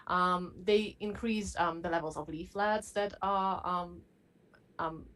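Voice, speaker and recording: Halting woman panel speaker. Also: on the low side, fairly even intonation, fairly bright tone. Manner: halting, frequent hesitations. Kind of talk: academic panel discussion.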